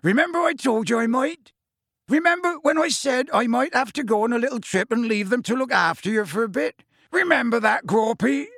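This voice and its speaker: Man performing a half-giant gamekeeper, storybook character, big and bumbling, hearty, folksy, coaxing, loud raised voice, dry sound. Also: sits high, sing-song, slow pace, vocal fry, breathy edge, no echo, voice pushed up, full character voice. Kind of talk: audiobook character voice.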